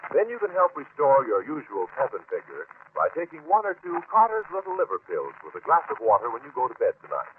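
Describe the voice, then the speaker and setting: A man's voice: mid-range voice, huge pitch swings, quick pace, hardly any pauses. Male vintage radio announcer, polished and emphatic, swooping intonation, thin hissy 1940s broadcast sound. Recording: muffled, noisy 1940s radio recording.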